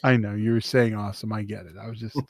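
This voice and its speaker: A man's voice: lowish voice, flat delivery, fast talker, a little mellow, no room sound, clean studio sound. A man co-hosting a casual film review show, teasing his partner and laughing often. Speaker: podcast co-host.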